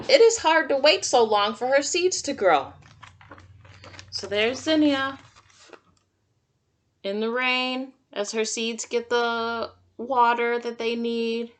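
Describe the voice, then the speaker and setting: A woman's voice: on the high side, fairly bright tone. A woman teacher reading a picture book aloud to young children, adding simple, encouraging comments and questions as she goes. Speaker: kindergarten teacher.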